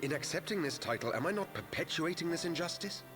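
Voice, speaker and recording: An actor playing an earnest, conflicted young noble heir in a fantasy game, speaking in formal archaic language. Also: male voice, fairly high pitch, expressive, fast talker, music in the background, lightly acted. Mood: troubled. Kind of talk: scripted fantasy game acting.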